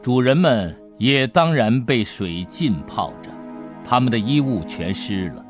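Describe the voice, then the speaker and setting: A man narrating an audiobook adventure story in an expressive, dramatic storytelling manner. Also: medium pitch, a little slow, soft background music.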